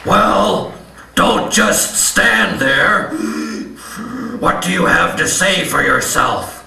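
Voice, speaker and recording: A man's voice: fairly high pitch, expressive, extremely slow, creaky, pushed and bright, exaggerated voice. A man voicing a brash, indignant barnyard animal puppet in a comic stage show, scolding and blustering. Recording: echoey live hall, distant, faint noise.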